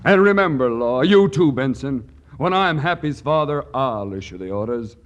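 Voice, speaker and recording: An actor playing a dim, self-important cowboy movie star with folksy, repetitive talk in a 1940s radio comedy. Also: male voice, mid-range voice, lively intonation, dull audio, natural voice. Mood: bossy, smug. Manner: folksy, slow-witted, repetitive drawling talk. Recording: faintly noisy old radio recording.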